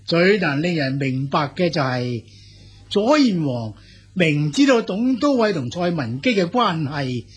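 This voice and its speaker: An earnest yet insinuating court informant in a Hong Kong Cantonese radio play. The voice is high-pitched and very raspy, rising and falling with obsequious eagerness. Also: male voice, unhurried, soft background music, exaggerated voice. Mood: earnest reporting. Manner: obsequious, animated storytelling. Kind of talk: Cantonese radio drama acting.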